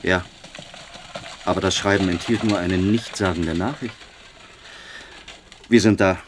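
An actor playing a proud, earnest young gentleman, a well-bred son, in a radio drama. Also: low-pitched, fairly even intonation, unhurried, background noise. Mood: troubled, narrating.

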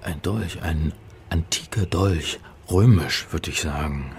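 A man's voice: very deep voice, slow, gravelly, a little mellow, a little hiss or hum, heavily acted. An actor playing a flustered, wry male scholar in trouble, in a radio drama with first-person narration, sounding hesitant and sardonic. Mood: exasperated.

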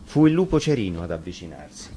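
A man with a fairly low voice tells a children's fairy tale from a script. He works as the storyteller, and faint noise sits behind the recording. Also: very animated, quick pace, mellow.

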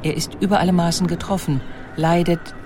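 A woman narrating a scripted biographical documentary in a measured, articulate manner. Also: fairly low pitch, flat delivery, noisy background.

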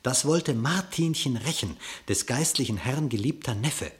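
A male storyteller narrates a verse fable with theatrical weight. His mid-range voice moves widely in pitch, declaiming each phrase expressively. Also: lively intonation, unhurried.

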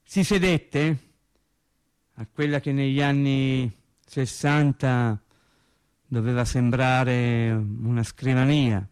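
A man's voice: mid-range voice, fairly even intonation, takes it slowly, pauses often, darkish tone, clear, resonant tone, natural voice. A man with a Tuscan accent playing an embittered, rambling factory worker in a theatrical monologue, correcting himself and repeating phrases as he goes. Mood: wry, uneasy recollection. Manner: digressive, self-correcting, repeating phrases for emphasis. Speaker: actor in a stage monologue for radio theatre. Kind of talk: theatrical monologue, colloquial storytelling.

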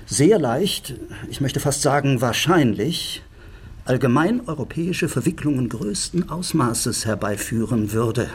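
An actor playing a stern, imperious elder British prime minister in a radio drama, speaking gravely and formally with a husky, gravelly voice. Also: male voice, mid-range voice.

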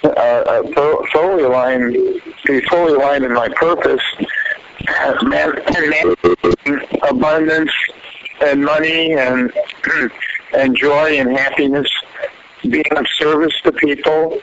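A man calling in by phone, speaking in a halting, rambling way and often reworking his phrases. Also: on the high side, fairly even intonation. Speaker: caller to a prayer line. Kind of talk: unscripted phone conversation. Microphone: telephone. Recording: muffled, noisy phone call recording.

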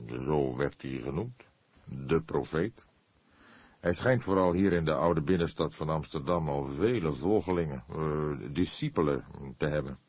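A man plays a seasoned older detective in a crime story. His voice is very low and husky, recorded dry and close. He phrases things thoughtfully, with measured courtesy. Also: darkish tone, dry recording, exaggerated voice. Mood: earnest. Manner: deliberate, measured, earnest. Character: grey-haired veteran male detective, thoughtful and courteous.

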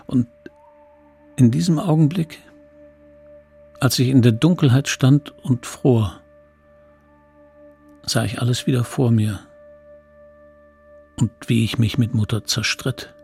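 A middle-aged man looks back wistfully in a radio drama. His voice is middling in pitch and gravelly, his storytelling measured and sombre, with faint music underneath. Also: darkish tone, heavily acted. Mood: reflective. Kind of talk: radio drama first-person narration.

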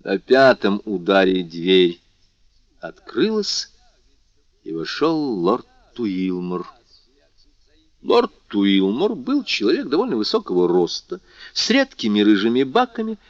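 A man's voice: mid-range voice, no room sound, dull audio. A man reading literary fiction aloud as a scripted audiobook narration.

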